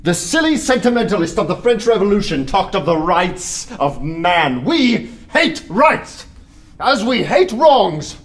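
An actor playing a fervent, gleeful anarchist poet in a radio play, with a theatrical, declamatory manner. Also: male voice, high voice, sing-song, a little slow, breathy edge, exaggerated voice. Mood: fanatical. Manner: theatrical, declamatory, laughing zeal.

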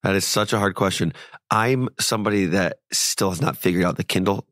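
A man's voice: deep voice, fairly even intonation, fairly quick, a bit bright, no echo, studio quality. A man co-hosting a podcast, chatting casually and teasing his co-host in playful banter. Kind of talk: casual chat.

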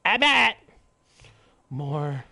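A man's voice: a little high, sing-song, drawn-out words, gravelly, sharp tone, no room sound. A man doing casual live gameplay commentary, exclaiming, swearing and muttering jokey remarks to himself. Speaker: video game live streamer.

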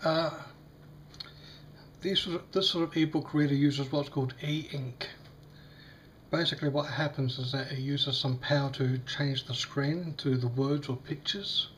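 A man with a slightly croaky voice, demonstrating a device in a casual, hesitant way with many fillers. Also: medium pitch, fairly even intonation, a little slow, a bit bright, a little hiss or hum. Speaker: tech unboxing vlogger. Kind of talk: casual product demo. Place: small indoor room at a table.

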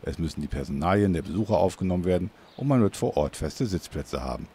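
Male news voice-over, very low and dark, scripted delivery. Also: animated, fast talker, slightly rough. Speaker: news reporter narrator.

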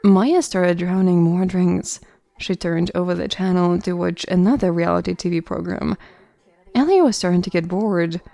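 A woman's voice: on the low side, normal speed, vocal fry, warm tone, no room sound. A woman reading scripted narration for an audio drama.

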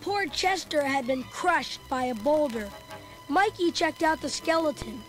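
A woman's voice: high voice, expressive, a little slow. A chubby food-loving boy. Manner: chatty, comic asides. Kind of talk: storybook narration. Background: music.

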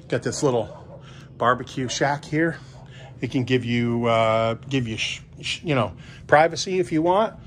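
A man's voice: mid-range voice, a little slow, slight background noise. Folksy man touring a house. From Kentucky. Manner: chatty, folksy, salesy asides with restarts. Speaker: real estate agent touring a house. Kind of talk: casual walkthrough commentary. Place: empty house, indoors with some steps outside.

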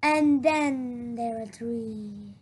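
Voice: mid-range voice, extremely slow, a little mellow, slightly rough. A child storyteller reading a picture book aloud, exclaiming the sound words dramatically.

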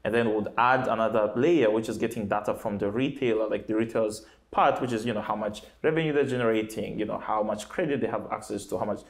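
A man's voice: fairly low pitch, fast, few pauses. Young man answering questions with restarts. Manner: hesitant, many restarts and fillers. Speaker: startup founder. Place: bright office with plants. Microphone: lapel.

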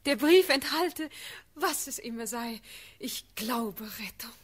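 An actress playing an ardent young princess in a classic verse radio drama, breathy and fervent. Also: female voice, high voice, lively intonation, takes it slowly, exaggerated voice. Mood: hushed, grateful. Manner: fervent, urgent declamation.

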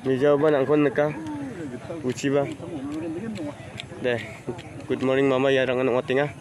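A man's voice: mid-range voice, flat delivery, normal speed, crowd noise, poor audio. Chatty man vlogging outdoors on a phone. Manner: chatty, greets people as he walks. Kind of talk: casual walking vlog. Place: outdoors at a rural fishing pond fair. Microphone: handheld phone camera.

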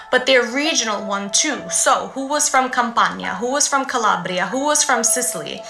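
A young woman from New City, explaining to her phone camera in a casual, animated way with conversational asides. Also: fairly high pitch, roomy sound, rough recording. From New York City, USA. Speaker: vlogger. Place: room at home, indoors.

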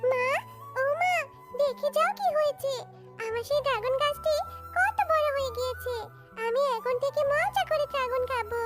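A woman's voice: very high voice, normal speed. A woman voicing an earnest, childlike little animal girl in a cartoon, with a pleading manner. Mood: excited. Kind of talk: cartoon voice acting. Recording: music behind the voice.

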